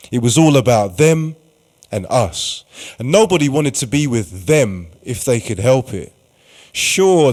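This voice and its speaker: A man giving deliberate, dramatic scripted narration for a radio play. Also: mid-range voice, slightly rough. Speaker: writer-performer, narrator. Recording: music under the voice. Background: music.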